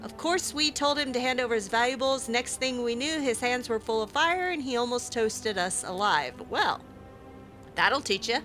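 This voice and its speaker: A woman reading out a grumbling male bandit's journal, in a gruff, complaining way. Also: on the high side, lively intonation, normal speed, a bit bright, soft background music. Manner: gruff complaining, swears "bleeding".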